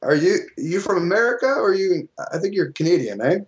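A man calling in to a talk show, answering with quick, brief replies. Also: high-pitched, sing-song. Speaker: caller. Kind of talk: phone-in conversation.

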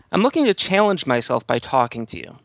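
A man reading a listener's letter aloud at a measured, slow pace. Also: medium pitch, sing-song, creaky, no echo.

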